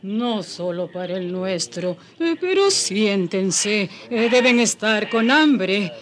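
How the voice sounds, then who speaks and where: A kindly native village elder, high voice, talks real slow and ceremonial, radio drama. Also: male voice, lively intonation, a bit bright, exaggerated voice. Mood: welcoming.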